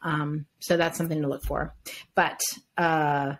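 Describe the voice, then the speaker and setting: A woman's voice: low-pitched, level tone, measured pace. A woman co-hosting a podcast in casual chat, rambling with restarts and asides. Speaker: podcast co-host.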